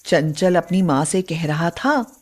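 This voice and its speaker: A woman narrating a scripted moral story in an expressive, deliberate storytelling manner. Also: on the low side.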